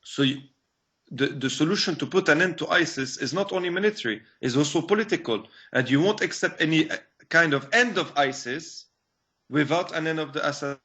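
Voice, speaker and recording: A young man from Syria, a guest activist in a video call interview, speaking earnestly and haltingly with many fillers and restarts. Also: fairly high pitch, pushed and bright, husky. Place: small room indoors. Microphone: video call webcam. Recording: dull lo-fi call audio.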